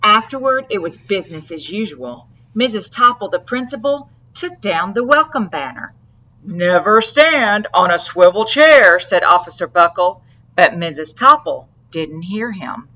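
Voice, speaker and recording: A woman narrating a children's storybook aloud with deliberate, dramatic phrasing. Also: mid-range voice, big pitch swings, pushed and bright, low-quality recording. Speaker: storybook reader.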